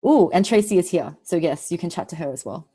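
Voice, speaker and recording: A woman hosting an online webinar, her voice slightly low and soothing. She talks in quick, upbeat bursts over a computer mic on a video call. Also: expressive, mellow, slightly rough. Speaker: webinar host.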